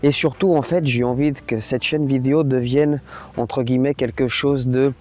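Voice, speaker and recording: Male vlogger, native Portuguese speaker, mid-pitched voice; loose, colloquial, off-the-cuff delivery with plain-spoken bluntness. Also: steady tone, moderate pace, a little mellow. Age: adult, parent. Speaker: YouTuber, host. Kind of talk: casual unscripted vlog monologue.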